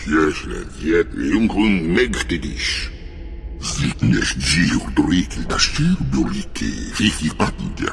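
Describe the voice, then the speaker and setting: A man voicing a guttural, gravelly alien creature in a video game, grumbling in an invented alien tongue. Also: rumbling low, sing-song, takes it slowly, heavily acted. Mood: grumbling, agitated. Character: male alien cave creature, exiled, hungry, speaking alien gibberish. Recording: cavernous reverb, noisy game capture.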